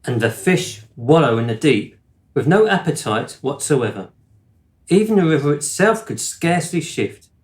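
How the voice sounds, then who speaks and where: An actor playing a gentle, absent-minded middle-aged father in a radio play, with a wistful storyteller's manner. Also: on the high side, sing-song, normal speed, roomy sound. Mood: dramatic storytelling.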